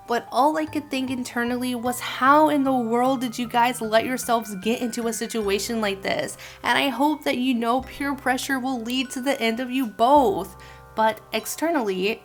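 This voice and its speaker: A woman giving scripted video commentary in a conversational storytelling way, with expressive emphasis. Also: medium pitch. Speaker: host reviewing an animated horror film. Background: background music.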